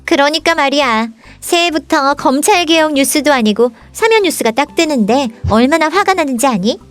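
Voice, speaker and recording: A woman's voice: sits high, animated, moderate pace, no echo, soft background music. A woman playing an indignant young storybook princess in a political satire, scolding and explaining with pointed rhetorical questions. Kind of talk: scripted political satire dialogue, storybook parody.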